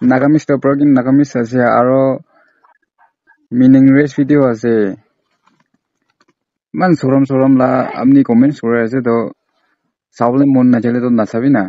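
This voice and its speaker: A man's voice: mid-range voice, fairly even intonation, normal speed, pauses often, no room sound. A man on a casual, confrontational phone call, scolding and accusing insistently. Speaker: prank caller.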